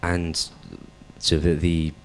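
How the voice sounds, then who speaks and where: A man with an extremely deep voice asks the panel a question at a public debate. He hesitates often and fills the gaps with ums. A faint room ambience sits behind him. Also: level tone, takes it slowly, slight background noise. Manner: hesitant, many fillers. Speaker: audience member asking a question.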